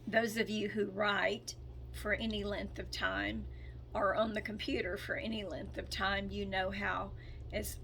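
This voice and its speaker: Hoarse woman, very slow, hesitant, clears her throat often; faint background noise. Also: mid-range voice, little pitch movement, a bit bright, low-quality recording. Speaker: spiritual channel and author. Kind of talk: casual spoken update.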